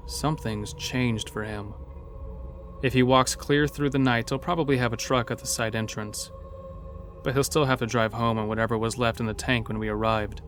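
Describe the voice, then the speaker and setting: A man's voice: mid-range voice, a bit bright. A man narrating a scripted first-person horror story as an uneasy logging worker.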